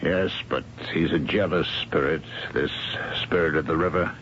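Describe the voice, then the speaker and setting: An actor playing an anxious, superstitious and stubborn engineer in a radio drama, brooding and hesitant. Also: male voice, a bass voice, steady tone, moderate pace, a bit bright. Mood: fearful, brooding. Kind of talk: 1970s radio drama acting. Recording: muffled, slightly noisy 1970s radio broadcast.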